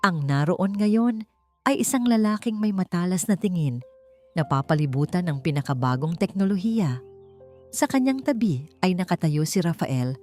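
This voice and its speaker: A Filipino-accented woman narrates a dramatic story in a fairly low voice. Her delivery is measured and scripted, with music playing behind her. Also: darkish tone. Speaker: audiobook narrator. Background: background music.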